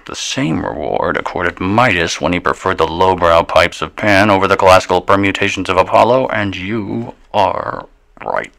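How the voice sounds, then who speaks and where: An actor playing an eccentric, provocative radio DJ in a radio drama, rambling wryly and verbosely through a theatrical live opera broadcast. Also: male voice, low voice, vocal fry, fairly bright tone. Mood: indignant, theatrical. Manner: verbose, wry, dramatic, drawn-out musing.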